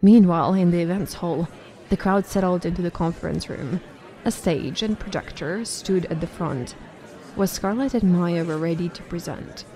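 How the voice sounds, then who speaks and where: A woman's voice: lowish voice, normal speed, warm tone, some chatter behind. A woman narrating a scripted audio drama as the storyteller.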